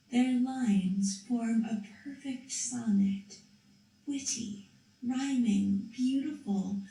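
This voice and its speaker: A woman's voice: fairly high pitch, mellow, recorded from a distance. A woman narrating a story for a school puppet show, reading carefully with a few restarts. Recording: echoey room, voice from off-mic.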